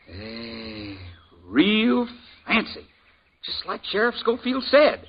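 An actor playing a jovial, talkative frontier blacksmith with a folksy drawl in a 1950s radio drama. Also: male voice, sits high, wildly swooping pitch, slow, slight background noise, exaggerated voice. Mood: curious. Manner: folksy drawl, chuckling, nosy. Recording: dull old radio broadcast.

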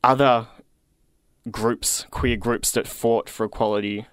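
Upbeat young Australian radio host. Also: male voice, medium pitch, steady tone, takes it slowly, clear, resonant tone, no room sound, clean studio sound. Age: 20s. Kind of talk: community radio chat. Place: radio studio.

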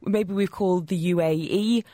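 A woman's voice: fairly low pitch, fairly even intonation, moderate pace, mellow, no echo, clean studio sound. A woman hosting a radio talk show, asking questions in a friendly, conversational way. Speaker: radio host.

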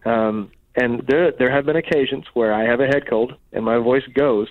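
A man's voice: mid-range voice, moderate pace, dry recording. Man with slight head cold, dull lo-fi sound. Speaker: guest, touring worship musician. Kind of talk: casual reflective interview talk.

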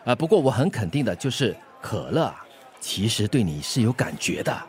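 A man with a Singaporean accent playing a nosy, jovial, worldly-wise uncle in a radio drama, teasing with drawn-out exclamations. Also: on the low side, sing-song. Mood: blunt, teasing.

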